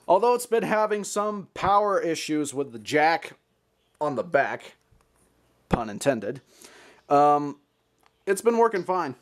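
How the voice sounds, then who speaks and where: A man's voice: on the high side, a little hiss or hum. An American man hosting a casual hobby vlog, talking in a chatty, playful, slangy way. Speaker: hobbyist video host. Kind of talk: casual show-and-tell vlog.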